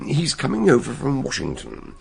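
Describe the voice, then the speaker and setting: An actor playing an eccentric elderly detective in a radio drama, with a genial, learned manner and chuckling, expressive delivery. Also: male voice, mid-range voice, normal speed, full character voice. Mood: amused. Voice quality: gravelly, breathy voice. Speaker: amateur detective. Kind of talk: 1960s radio drama acting.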